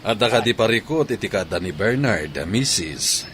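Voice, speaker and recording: A man's voice: fairly low pitch, lively intonation, normal speed, a bit bright, slight background noise. A man playing an encouraging middle-aged school sports coach in a radio drama, with an Ilocano accent.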